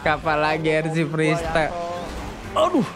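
Male gaming creator, Jakarta slang, teasing and casual, expressive melody, game music underneath. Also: fairly high pitch, measured pace. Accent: Jakarta-style colloquial Indonesian. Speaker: gaming video creator commentating for viewers. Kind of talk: casual roleplay gameplay commentary.

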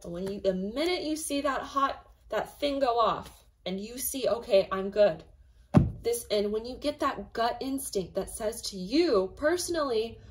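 A slightly raspy woman's voice, pitched a bit high, talking casually as she hosts a tarot reading. She rambles with lots of "um" and "you know" and punches her points with emphatic asides. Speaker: tarot reader and channel host.

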